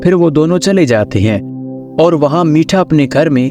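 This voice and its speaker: A man's voice: fairly high pitch, expressive, moderate pace. A man reading scripted narration of a children's story as the storyteller. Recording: music under the voice.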